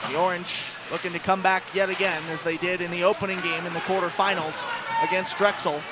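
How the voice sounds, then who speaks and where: A man's voice: sits high, flat delivery, brisk, very noisy. A man calling live ice hockey on the radio as play-by-play announcer, in flowing descriptive calls that build on big plays.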